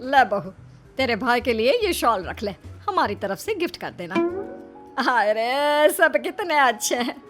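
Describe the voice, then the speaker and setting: A woman voicing a doting, excitable middle-aged mother-in-law in a cartoon story, fussing and exclaiming. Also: on the high side, measured pace, over music. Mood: warm, approving.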